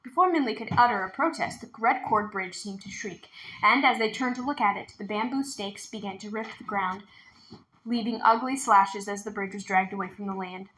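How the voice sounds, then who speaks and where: A woman's voice: medium pitch, normal speed, fairly bright tone, reverberant, poor audio. A woman reading a storybook aloud expressively as a storytime narrator. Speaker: storytime reader.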